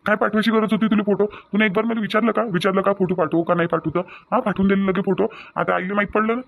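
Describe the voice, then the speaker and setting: A man's voice: high-pitched, fairly even intonation, fast, gravelly, narrow, tinny audio. A man playing an agitated young newlywed husband in a family drama, repeating himself, with a Varhadi Marathi accent. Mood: annoyed. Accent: Varhadi Marathi of Vidarbha. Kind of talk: amateur family comedy-drama dialogue.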